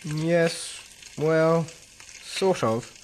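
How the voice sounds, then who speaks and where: A bashful teenage younger brother in a radio drama. The voice sits low, hesitant and reluctant, lovesick underneath. There is clear noise in the background. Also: female voice, extremely slow, a little mellow. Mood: shy, lovesick. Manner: hesitant, reluctant, short answers. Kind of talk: radio drama acting for English learners.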